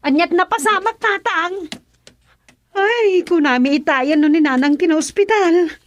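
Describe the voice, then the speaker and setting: A woman's voice: very high-pitched, a little slow, muffled recording. A woman with an Ilocano Filipino accent acting as an inquisitive, nagging young village daughter in a radio serial. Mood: concerned.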